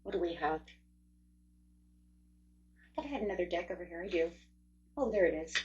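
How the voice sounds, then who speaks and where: A woman's voice: lowish voice, lively intonation, brisk, darkish tone, highs cut off, a little hiss or hum. A woman in her forties giving a chatty, upbeat tarot card reading, speaking straight to her viewers. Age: 40s. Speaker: tarot reader.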